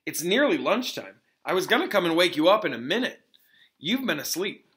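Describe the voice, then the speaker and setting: A man's voice: high voice, big pitch swings, fairly quick, fairly bright tone, voice pushed up. A man reading a storybook aloud as a worried, blunt schoolboy best friend. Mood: casual concern. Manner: blunt, concerned.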